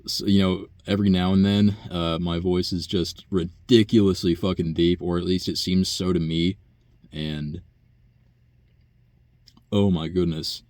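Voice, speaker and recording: A young man talking through a rambling, casual personal monologue, profane and full of fillers and sighs, with a low buzzy voice. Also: little pitch movement, unhurried, darkish tone, no echo. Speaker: vlogger.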